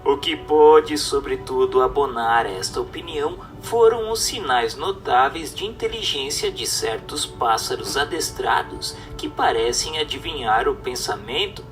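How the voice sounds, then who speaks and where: Male narrator, formal and instructive, very animated intonation, slightly distant mic over faint music. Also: mid-range voice, measured pace, hardly any pauses. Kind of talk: scripted reading of a spiritist text, audiobook-like.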